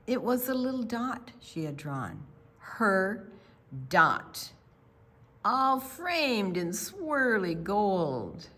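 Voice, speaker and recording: An older woman reads a picture book aloud for young children, expressively and with pauses for her listeners. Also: medium pitch, sing-song, a bit bright. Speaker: children's library storyteller.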